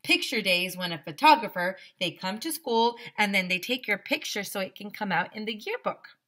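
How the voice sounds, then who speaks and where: A woman voicing a bossy, excitable schoolgirl with an emphatic know-it-all manner in a storybook reading. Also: mid-range voice, normal speed. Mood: exasperated.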